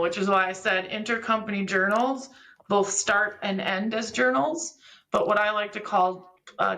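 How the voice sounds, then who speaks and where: Female expert guest, mid-pitched with a creaky edge, talking through technical processes step by step in a conversational, instructive way. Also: a bit bright, rough recording. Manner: didactic, step-by-step explaining with examples. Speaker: podcast guest, business software expert.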